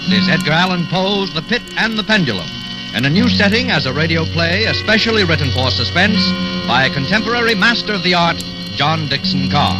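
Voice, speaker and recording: Male 1940s radio announcer: formal, theatrical, animated intonation, strong music underneath. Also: on the high side, rough recording. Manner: formal, dramatic.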